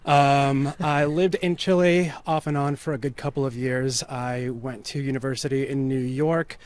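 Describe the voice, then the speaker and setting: A man who grew up in the United States, a writer being interviewed, speaking in a measured way with dry, wry asides. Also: mid-range voice, fairly even intonation, darkish tone. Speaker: guest, writer and performer. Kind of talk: casual interview chat.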